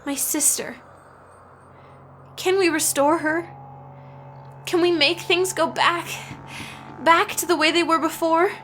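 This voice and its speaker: A woman's voice: extremely high pitch, steady tone, slow, pauses often, a bit bright, a little hiss or hum, heavily acted. A woman voicing a troubled, earnest teenage village girl in a fantasy audiobook. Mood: troubled, pleading. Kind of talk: audiobook character acting.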